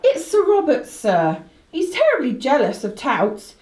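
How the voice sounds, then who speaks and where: A woman's voice: high-pitched, huge pitch swings, unhurried, a little mellow, full character voice. An actress playing a chatty, deferential country inn landlady in a radio play, folksy and emphatic. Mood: cautioning. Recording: roomy, echoing radio play recording.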